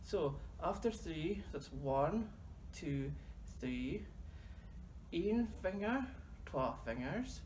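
A man with a Doric Scots accent leading young children through a finger-counting rhyme in a library, gently chatting to a soft toy between verses. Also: fairly high pitch, expressive, extremely slow, a little hiss or hum. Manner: gently coaxing, talks to a toy. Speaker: library storytime leader. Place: library reading corner.

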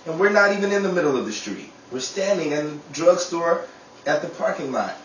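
A man's voice: fairly high pitch, expressive, brisk, slight background noise. A man in the cast reading credits and making dry joking asides at a casual table read. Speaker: cast member. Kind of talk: casual banter and announcer reading. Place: small dining room set, indoors. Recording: echoey room, from far off.

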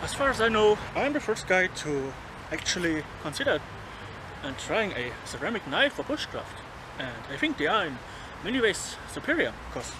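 A man in his thirties demonstrating a knife outdoors in a forest, chatting casually and chuckling between remarks, recorded on a camera mic. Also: high voice. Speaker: bushcraft vlogger. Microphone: handheld camera mic. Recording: outdoor camera audio with background noise. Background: forest ambience.

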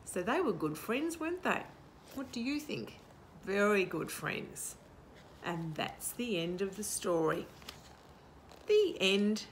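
A woman in her sixties reads to small children outdoors, taking her time. Her delivery is sing-song and very varied in pitch, full of little questions. Faint outdoor noise sits behind her. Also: unhurried. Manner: animated, sing-song, asking the listener questions. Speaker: children's storytime host. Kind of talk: reading a picture book aloud to young children. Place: outdoor garden patio. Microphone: camera a short distance away.

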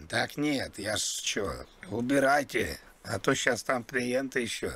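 Gravelly, husky man making prank calls. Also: mid-range voice, measured pace, pushed and bright. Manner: mock-polite, crude slang. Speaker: prank caller, streamer. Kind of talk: casual prank phone chat.

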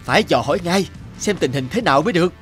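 A greedy, self-important elder brother in a cartoon fable. He blusters and mutters his schemes slyly, with clear music behind him. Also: fairly high pitch, expressive, very fast, fairly bright tone, big-room sound. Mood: alarmed, annoyed. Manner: blustering, sly, muttering to himself. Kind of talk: animated folk tale dialogue.

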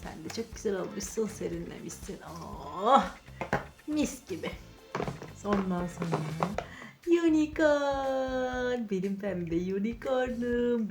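A woman hosting children's toy play, narrating playfully and talking to the toys in sing-song encouragement. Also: mid-range voice. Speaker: children's channel host. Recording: music under the voice. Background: music.

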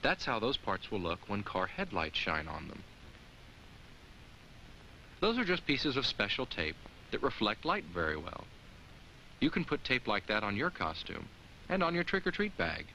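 An actor playing a friendly, earnest talking jack-o'-lantern, gently instructing children in the scripted style of a 1980s educational film. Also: male voice, on the low side, huge pitch swings, normal speed, long pauses, a little hiss or hum, full character voice, rough recording. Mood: kindly, instructive.

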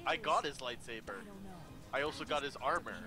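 A man's voice: medium pitch, fairly quick, over music. A man in a casual voice chat with friends about a game.

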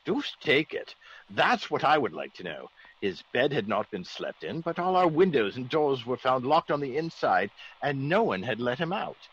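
Genteel, easygoing English gentleman, classic radio drama: husky, lively intonation, dull old recording. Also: medium pitch, normal speed. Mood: troubled. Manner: polite, old-fashioned upper-class exclamations.

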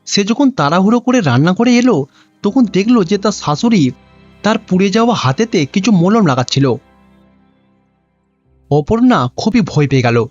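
Casting: male cartoon story narrator, high pitch, lively melodic read, lo-fi track. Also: a little fast, pauses often, faint music behind.

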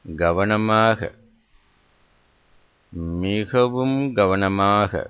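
Slow, low-voiced man guiding meditation. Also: smooth. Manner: measured, instructional, repeats phrases with pauses. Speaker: meditation guide. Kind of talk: guided meditation instruction. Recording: dull, muffled lo-fi sound.

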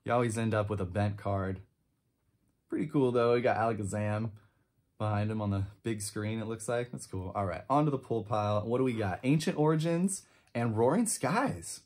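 A man in his twenties with a lowish voice hosts a trading card video. He talks casually and with enthusiasm, commenting in short bursts and little exclamations as he goes. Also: moderate pace, darkish tone. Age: 20s. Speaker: trading card channel host. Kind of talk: casual pack-opening commentary. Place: indoor room with shelves of collectibles.

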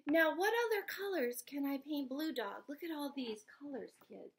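A woman in her thirties or forties reading a picture book aloud to young children, sing-song and expressive, often asking questions. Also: high-pitched, normal speed. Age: 30s or 40s. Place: small indoor room at home.

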